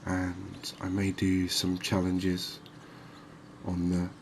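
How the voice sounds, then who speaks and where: A soft-spoken man giving unhurried, soothing ASMR commentary over a video game. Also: sits low, monotone, gravelly, slight background noise. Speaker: gaming video host.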